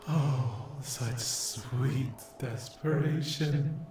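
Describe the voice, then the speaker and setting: Male actor as possessive demon, ASMR roleplay, breathy murmur and whisper, cruel sweet menace, dragging pace, echoey noisy recording. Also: medium pitch. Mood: sadistic delight. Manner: breathy, drawn-out, sadistic, gloating endearments. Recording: roomy echo with clear background noise.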